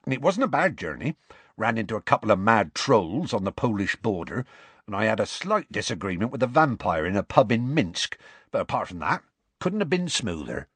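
A big, gruff half-giant groundskeeper, as a male reader puts him on, low and husky, rumbling along in a rustic dialect with lively swings in tone. The recording is dry and close. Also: no echo, full character voice.

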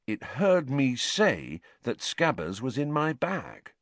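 A man's voice: medium pitch, very animated, slow, no echo, pitched above the performer's own voice. A man voicing a hot-tempered, aggrieved teenage schoolboy in an audiobook, full of indignation. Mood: sulky, accusing. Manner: loud, indignant outbursts.

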